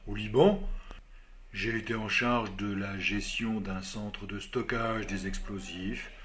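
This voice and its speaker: An actor playing a composed army officer who answers job interview questions in a measured, formal way, in a scripted learner dialogue. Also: male voice, lowish voice, steady tone, fairly bright tone, a little hiss or hum. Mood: calm.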